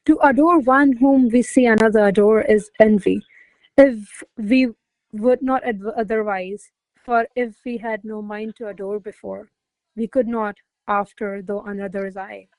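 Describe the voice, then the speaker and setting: An actress playing a haughty, quick-witted noblewoman in a classical comedy radio play, imperious, formal and articulate. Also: mid-range voice, steady tone, darkish tone, no room sound, close to an everyday voice, rough recording. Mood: measured reciting. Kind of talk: stage-style radio play acting.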